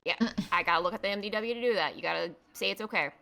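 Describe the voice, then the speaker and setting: A woman's voice: mid-range voice, racing, gravelly, pushed and bright. A woman giving improvised testimony as a witness in a roleplay trial, insistent and pushing back on questions.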